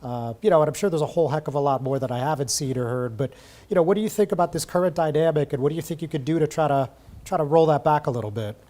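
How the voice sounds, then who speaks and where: A man's voice: on the high side, racing, mellow. A man hosting a local political talk show, chatting casually with lots of fillers. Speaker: TV news talk show host.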